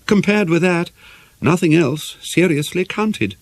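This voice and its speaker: A man reading a novel as an audiobook narrator, in a measured, formal and expressive way. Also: a little high, dry recording. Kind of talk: scripted narration of a murder mystery novel.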